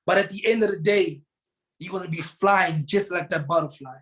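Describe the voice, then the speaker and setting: A man's voice: high-pitched, moderate pace, a bit bright. Man from South Africa, Tswana speaker, earnest, motivational, emphatic. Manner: earnest, repeats words, rises to emphatic bursts. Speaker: guest speaker. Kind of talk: motivational storytelling.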